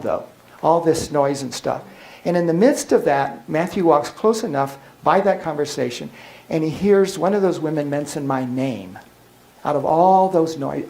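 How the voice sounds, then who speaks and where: Older male presenter, slightly high voice, chatty, keeps asking the room if it makes sense, faint room noise. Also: a little mellow, poor audio. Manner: conversational, checks in with listeners often. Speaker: workshop presenter. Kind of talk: informal talk to a group. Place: meeting room with an audience.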